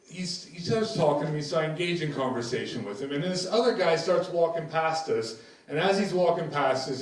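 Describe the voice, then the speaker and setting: A man with an American accent tinged with Scottish, doing a brash, profane stand-up set and bantering with the crowd. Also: on the high side. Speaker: stand-up comedian. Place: comedy club stage. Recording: echoey room, distant mic, audience laughter. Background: crowd laughter.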